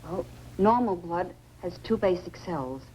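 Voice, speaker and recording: A woman's voice: fairly low pitch, animated, a little slow, warm tone. An actress playing a serious, precise female doctor, explaining things clinically in 1950s science fiction film style. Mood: clinical concern. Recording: noisy old 1950s film soundtrack.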